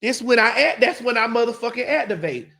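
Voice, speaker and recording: A talk-show host ranting in heated, profane, emphatic casual talk. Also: female voice, a little high, animated, a little fast, gravelly, sharp tone.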